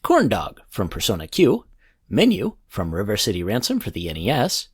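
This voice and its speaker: A man hosting a music podcast, reading scripted introductions in a measured announcer-like manner. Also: fairly low pitch, wildly swooping pitch, breathy edge. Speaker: podcast host. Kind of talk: scripted music show narration.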